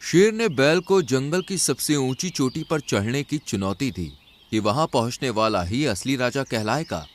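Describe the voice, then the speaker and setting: A man's voice: medium pitch, lively intonation, unhurried. A man narrating a scripted children's animal story.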